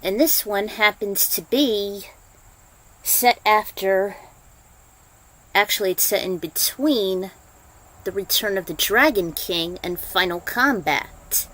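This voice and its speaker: A woman's voice: medium pitch, slow pace, fairly bright tone, low-quality recording. A woman speaking as a podfic writer and reader, moving between casual introduction and story narration.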